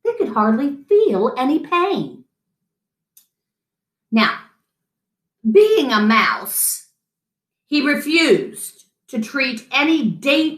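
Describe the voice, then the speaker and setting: A middle-aged woman reading a picture book aloud to children in an expressive story-time style. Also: high-pitched. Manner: animated, chatting to an unseen guest between lines. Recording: roomy echo.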